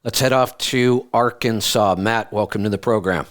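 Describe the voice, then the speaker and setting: A man's voice: on the low side, normal speed. A man hosting a radio call-in show, talking casually with callers.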